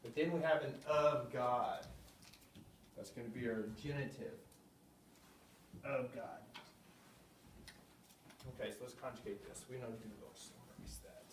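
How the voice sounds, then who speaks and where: Man teaching a class, roomy and distant. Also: mid-range voice. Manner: thinking aloud, slow deliberate spelling-out of words. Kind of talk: classroom lesson at a whiteboard. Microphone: camera at a distance. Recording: echoey room, voice from afar, faint hum.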